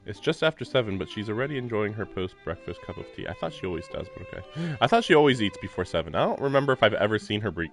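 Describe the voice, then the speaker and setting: A man's voice: fairly low pitch, sing-song, fast, few pauses. A man live streaming a visual novel, reading its text aloud with casual, informal commentary. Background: faint game music.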